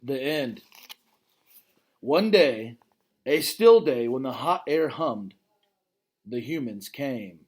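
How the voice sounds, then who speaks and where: A father reads a children's book aloud in a lively voice that swings up and down in pitch. He stops now and then to talk straight to his listeners about the story, then goes back to reading. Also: slow pace, long pauses, slightly rough. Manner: expressive reading, breaks off to comment directly to listeners. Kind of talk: reading a children's novel aloud with chatty asides.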